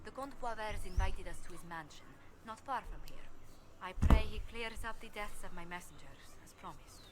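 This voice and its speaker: A woman playing a poised noble diplomat in a fantasy game, speaking in a formal, grave and measured way. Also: mid-range voice, very noisy, natural voice. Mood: grave, composed. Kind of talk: scripted video game dialogue.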